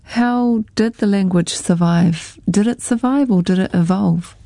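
Warm, dry-sounding New radio host. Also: female voice, medium pitch, unhurried, creaky, no echo. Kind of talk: radio interview.

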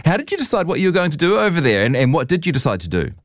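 A man with a New Zealand accent hosting a radio interview, relaxed and chatty. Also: fairly high pitch, racing, no room sound, studio quality. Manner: relaxed, chatty, says "mate". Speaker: radio host.

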